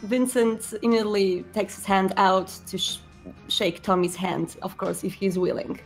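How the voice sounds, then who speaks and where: A woman's voice: mid-range voice, little pitch movement, normal speed, faint music behind, rough recording. A woman playing a tabletop roleplaying game, narrating her character's actions.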